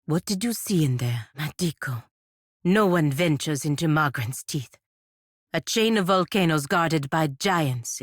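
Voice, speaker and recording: A proud warrior woman for a fantasy video game, speaks in a fairly low voice. She is stern and fiercely loyal, choosing her words with formal, deliberate care. The sound is very dry, with no room around it. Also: no echo. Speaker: game character voice actor. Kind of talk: scripted fantasy game dialogue.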